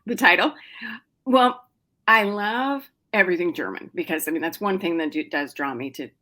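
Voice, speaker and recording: A middle-aged woman speaks with a low, easy voice as she answers an interviewer's questions about her writing. She talks casually and chattily, with frequent ums and restarts. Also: moderate pace, sharp tone. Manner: chatty, many fillers and restarts. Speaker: novelist guest.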